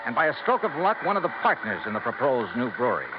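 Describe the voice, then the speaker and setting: A man's voice: mid-range voice, sing-song, fast talker, background noise, lightly acted. An actor with an American accent playing a dogged, wry male investigator in a 1950s radio detective drama. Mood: measured, intent. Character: male insurance investigator, dogged, wry, narrating his case. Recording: muffled vintage radio recording, music behind.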